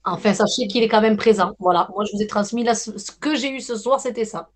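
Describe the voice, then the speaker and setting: A woman leads a live medium session over a video call. Her voice sits higher than most, and she talks in a hesitant, reassuring way, often repeating herself. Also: brisk. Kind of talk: live medium reading with callers.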